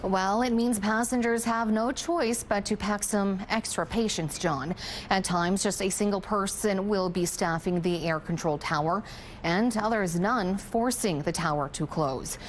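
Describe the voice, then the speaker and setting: A woman's voice: mid-range voice, measured pace, a little hiss or hum. A woman delivering a scripted live news report.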